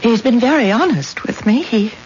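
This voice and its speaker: An actress playing a frail, deferential patient in a 1970s radio drama, speaking in a meek, hesitant way. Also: female voice, a little high, animated, normal speed, darkish tone, natural voice. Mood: meek, fearful. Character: frail, timid, ailing wife, a deferential patient. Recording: muffled old radio recording with hiss.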